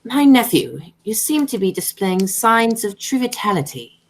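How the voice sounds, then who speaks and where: A woman's voice: fairly high pitch, very animated, normal speed. A woman playing a haughty, formidable older aristocratic aunt in a radio play of a stage comedy. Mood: stern. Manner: haughty, pronouncing.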